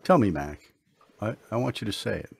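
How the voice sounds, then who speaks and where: A man acting in a radio drama as a mysterious, gentle, fatherly holy stranger who speaks in solemn, measured, old-fashioned biblical phrases. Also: fairly low pitch, big pitch swings, vocal fry, breathy edge, full character voice. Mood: gently probing.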